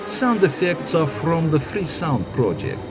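A man's voice: on the high side, big pitch swings, unhurried. A man serving as narrator, reading scripted audio drama narration in a storytelling style. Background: music.

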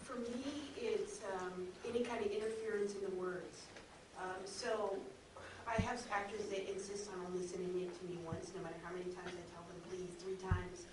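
A woman's voice: mid-range voice, steady tone, moderate pace. Woman panelist in a noisy, heavily echoing room. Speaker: audio drama producer on a panel. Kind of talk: informal panel talk. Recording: very echoey room, distant mic, noisy.

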